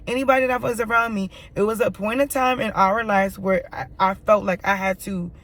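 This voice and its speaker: A woman speaks to camera in a candid personal monologue, her voice raspy and hoarse with a dry, scratchy throat. She leans on emphasis and repeats phrases, swearing freely, with plenty of gravelly fry and a middling pitch. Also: fairly even intonation, fairly quick, fairly bright tone. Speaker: vlogger.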